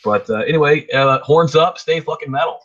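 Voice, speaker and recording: A middle-aged man hosting a casual podcast, hoarse from illness, blunt and quick to swear. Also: a little high, fairly bright tone, highs cut off. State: hoarse, getting over a chest illness. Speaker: podcast host. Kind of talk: casual chat.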